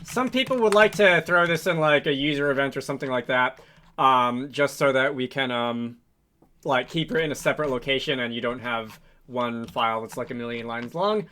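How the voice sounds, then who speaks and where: A man hosting a casual coding tutorial, thinking aloud in a halting, improvised way with frequent hesitations. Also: mid-range voice, pushed and bright. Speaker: tutorial host, game developer.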